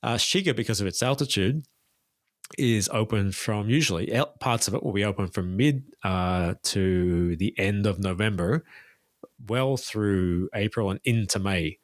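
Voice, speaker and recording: A man's voice: lowish voice, a little slow, no room sound, clean studio sound. A man who works as a tour guide, talking as a guest in a casual interview and giving detailed, enthusiastic recommendations.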